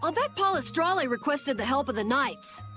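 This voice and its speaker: A woman playing a brisk, plucky young female adventurer in a video game, blunt and quick to scold. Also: high voice, sing-song, a bit bright, faint music behind. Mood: exasperated. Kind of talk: video game cutscene voice acting.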